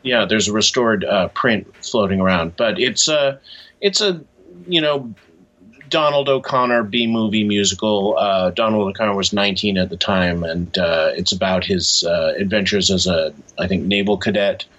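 A man speaking as an author being interviewed, in a halting, thoughtful, conversational way. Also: lowish voice, fairly bright tone. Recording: dull, muffled lo-fi audio.

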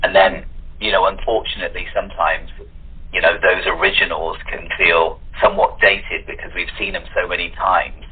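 A man with a very low, raspy voice chats casually as a guest. The audio is narrow and tinny, as if over the telephone. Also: fairly even intonation, moderate pace. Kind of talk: casual chat. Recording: thin, tinny phone-like line.

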